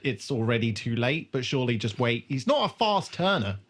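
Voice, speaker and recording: A man with a mid-pitched voice co-hosts a podcast in casual conversation. He is jokey and swears freely as he recaps a television episode. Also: sing-song, a little fast. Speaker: podcast co-host.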